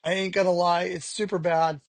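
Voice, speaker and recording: A man's voice: high-pitched, little pitch movement, brisk, gravelly, no echo. A man talking frankly as an interview guest, rambling with lots of "you know" fillers. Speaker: podcast guest. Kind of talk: candid conversational interview.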